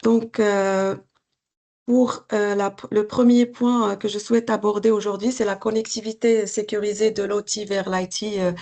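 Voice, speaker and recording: Creaky-voiced woman hosting a webinar. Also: fairly high pitch, a little mellow. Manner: polite, formal, reads prepared questions. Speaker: webinar host, business development manager. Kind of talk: moderating a webinar Q&A. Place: video call.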